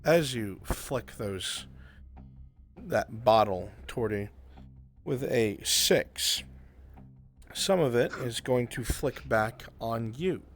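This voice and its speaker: A man's voice: mid-range voice, animated, extremely slow, faint music behind. A man acting as game master, narrating a live improvised roleplay game and giving players short directions. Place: voice chat in a virtual reality game.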